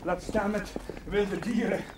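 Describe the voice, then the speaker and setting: An actor playing a gentle, flustered, self-mocking young village priest in a television period drama, speaking hesitantly. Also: male voice, high voice, takes it slowly, noisy background. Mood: agitated, self-mocking. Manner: hesitant, stammering. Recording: music behind, 1970s television soundtrack.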